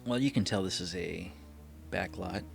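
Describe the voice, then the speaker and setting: A man's voice: deep voice, normal speed, creaky, over loud music. A man in his thirties hosting a reaction video, commenting casually as he watches a show. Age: 30s.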